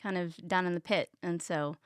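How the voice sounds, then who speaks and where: A woman in her thirties with a Texan accent talks loosely as a guest on an interview show, filling pauses with ums. Her voice sounds smooth and clear. The recording is dry and close. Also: on the low side, fairly even intonation, dry recording. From Texas. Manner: chatty, many ums, laughs at herself. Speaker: guest, health coach. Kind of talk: casual interview chat.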